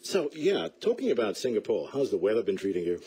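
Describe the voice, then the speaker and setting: Male radio host with a husky low voice, animated and joking with a guest. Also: fast talker, poor audio. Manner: lively, playful, jokey asides. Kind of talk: radio interview. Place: radio studio.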